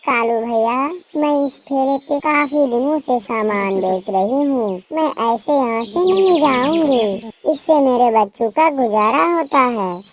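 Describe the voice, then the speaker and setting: A meek cartoon mother bird, played by a woman, speaks slowly in a fairly high, patient, pleading voice. Faint birdsong noise is behind her. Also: expressive. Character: meek, hardworking mother bird, gentle and principled.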